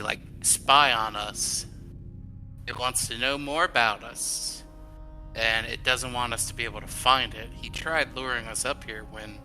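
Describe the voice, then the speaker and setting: Very bright-voiced man thinking aloud. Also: medium pitch, low-quality recording. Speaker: tabletop game player. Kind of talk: casual in-game discussion. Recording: music playing behind.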